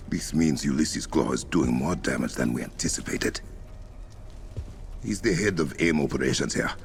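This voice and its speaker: An actor playing a dignified warrior king in a video game, with a deep gravelly voice, an African accent and a measured, formal, commanding manner. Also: a little hiss or hum. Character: dignified warrior king and masked protector of his nation. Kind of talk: video game cinematic acting.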